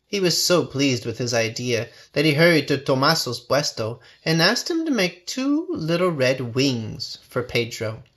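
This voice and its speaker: A man narrating a children's storybook with expressive, measured phrasing. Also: medium pitch. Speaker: storybook reader.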